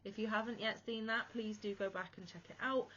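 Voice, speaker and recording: Woman crochet vlogger, mid-pitched, feeling poorly and slightly wheezy, chatty and self-mocking, on slightly dull audio. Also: steady tone, a little fast, sharp tone, slight background noise. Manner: chatty, self-deprecating, rambling asides. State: unwell, chesty and a bit wheezy. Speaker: crochet channel host. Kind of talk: casual chat to camera.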